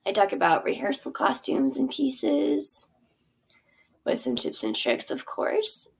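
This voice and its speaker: Lively, gravelly-voiced woman teacher. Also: sits high, takes it slowly, poor audio. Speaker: theater teacher presenting her curriculum. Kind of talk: casual walkthrough of a product.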